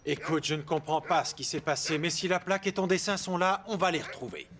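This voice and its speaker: An actor playing a kindly, polite, earnest man in a French animated cartoon. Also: on the high side, fairly quick, natural voice. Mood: reassuring. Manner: courteous, expressive. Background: loud background music.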